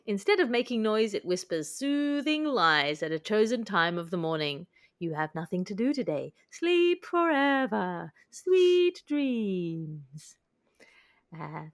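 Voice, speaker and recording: A woman's voice: medium pitch, fairly bright tone. A woman hosting a comedy podcast in a wry, articulate way, moving between panel chat and scripted bits. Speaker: podcast host.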